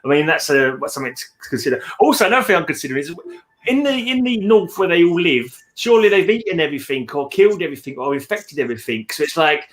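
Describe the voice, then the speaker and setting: A man with a fairly high voice talks casually through his thoughts on a book. His delivery is very quick and bright, wandering as he thinks aloud. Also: expressive. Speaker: read-along host.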